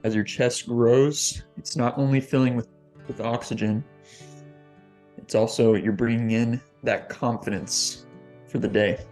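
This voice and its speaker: A man, a fitness coach, leading a guest's guided meditation on a podcast, slow and deliberate. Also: mid-range voice, steady tone, darkish tone, faint music behind.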